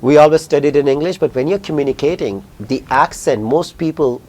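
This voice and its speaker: A man in his thirties from Pakistan with a Pakistani accent, answering interview questions in a fast, animated way. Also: fairly high pitch. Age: 30s. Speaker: guest, company founder.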